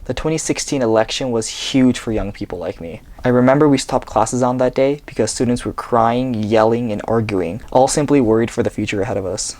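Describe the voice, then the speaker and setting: A man's voice: medium pitch, fairly quick, few pauses, no room sound. A young man from the Seattle area, reading a personal story earnestly from a script in a small bedroom. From Seattle, USA. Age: young adult. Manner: earnest, steady reading off a tablet. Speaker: vlogger.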